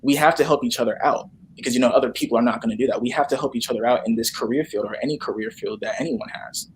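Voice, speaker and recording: A man giving a casual, earnest interview answer, halting and circling back over his phrases. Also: mid-range voice, a little hiss or hum, low-quality recording. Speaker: guest, actor.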